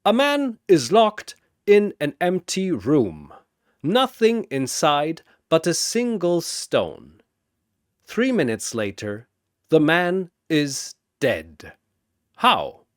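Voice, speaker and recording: A man voicing a jovial, wise and sly old brewmaster warrior who talks in measured, ceremonious riddles, as in a fantasy story. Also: on the high side, wildly swooping pitch, no room sound, clean studio sound, pitched above the performer's own voice, exaggerated voice. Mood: sly, challenging.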